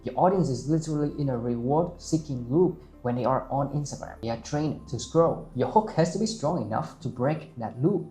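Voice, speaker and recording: A man's voice: mid-range voice, expressive, normal speed, few pauses, warm tone, reverberant, soft background music. A young man whose first language is Vietnamese, confidently coaching on social media marketing with numbered points. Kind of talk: direct-to-camera social media lesson.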